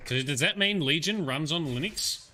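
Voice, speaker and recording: A man's voice: a little high, animated, moderate pace, bright, forceful tone, soft background music. An Australian man giving casual, sweary, jokey live commentary as he plays a video game. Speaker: livestreaming gamer. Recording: noisy stream with game sound. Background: video game audio.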